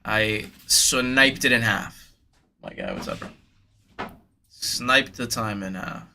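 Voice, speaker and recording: Young guy hosting a card break stream, kinda nasal, super bright voice, hyping up chat. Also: fairly low pitch, wildly swooping pitch, slow, vocal fry, slight background noise. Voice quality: slightly nasal. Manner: hyped, slangy, talks straight to viewers. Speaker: live card break host. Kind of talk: casual livestream chatter.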